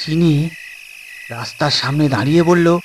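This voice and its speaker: A man's voice: fairly high pitch, moderate pace, slight background noise. A man narrating a suspense story as a storyteller, in a dramatic scripted style.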